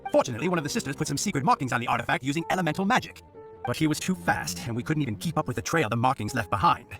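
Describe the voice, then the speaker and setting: An officious young knight rattles along extremely fast in a bright, forceful voice. He is formal and dutiful, in anime game style. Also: on the high side, lively intonation, vocal fry, soft background music. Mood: earnest, explaining. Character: officious, earnest young male knight lawman.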